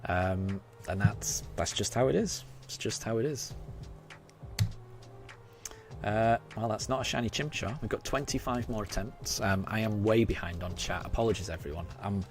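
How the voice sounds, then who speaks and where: A man in his forties from Yorkshire with a faded Yorkshire accent, chatting hesitantly with his live-stream chat over many ums and restarts while he plays. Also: lowish voice. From Yorkshire, England. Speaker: game streamer. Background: game music.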